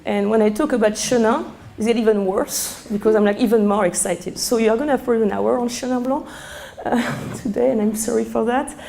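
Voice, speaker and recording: A middle-aged woman with a strong French accent, a sommelier speaking live to a seminar room, hoarse from losing her voice and chatty with many fillers. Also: a little high, steady tone, brisk, mellow, slight background noise. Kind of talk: live talk to a seminar audience.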